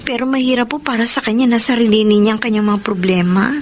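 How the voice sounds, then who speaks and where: An actress playing a caring, worried young Filipina in a radio drama, speaking earnestly and with emotion. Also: female voice, on the high side, steady tone, fast, natural voice. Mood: worried, respectful. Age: young adult. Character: confused, caring young woman worried for her boyfriend. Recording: background ambience noise.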